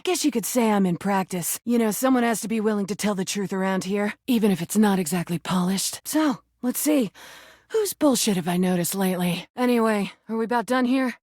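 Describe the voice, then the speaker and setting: A woman's voice: medium pitch, a little mellow, no room sound, clean studio sound, natural voice. A woman voicing a crude, flirty, brash rubber duck come to life in a video game, with a sarcastic, taunting manner. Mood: smug, offhand. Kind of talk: video game character voice lines.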